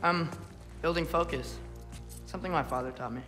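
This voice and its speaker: A man's voice: fairly high pitch, normal speed, pushed and bright, faint music behind. A man playing an earnest, guarded teenage boy hero in a video game, speaking with restraint. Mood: guarded, calm. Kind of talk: video game cutscene acting.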